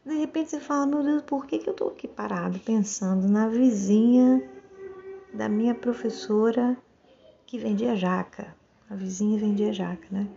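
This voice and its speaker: A woman's voice: medium pitch, moderate pace, creaky, dark tone, muffled recording, slight background noise. A woman with a Brazilian Portuguese accent giving a casual, reflective monologue, musing and often checking for agreement with little tag questions.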